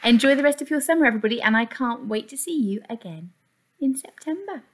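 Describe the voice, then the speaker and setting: A woman's voice: sits high, animated, quick pace. A woman reads a picture book to young children with sing-song emphasis, like an infant teacher. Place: small indoor classroom.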